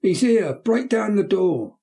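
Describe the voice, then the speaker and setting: A man's voice: expressive, a little fast, dark tone, natural voice. A man voicing a frantic, defiant young boy who screams for help in an audiobook reading. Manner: shrill, screaming. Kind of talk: audiobook character voice.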